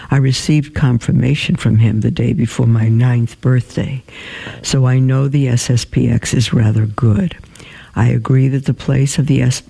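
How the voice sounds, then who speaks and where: A man hosting a religious advice show, reading listener emails aloud and answering them in a halting way with many pauses and fillers. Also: medium pitch, vocal fry, warm tone, husky, rough recording.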